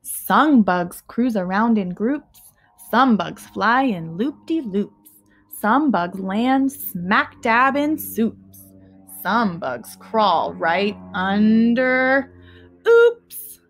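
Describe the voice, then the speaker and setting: A young adult woman reading a children's picture book aloud expressively, with deliberate, emphatic phrasing and pauses between short phrases. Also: on the high side, big pitch swings. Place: small living room at home, indoors.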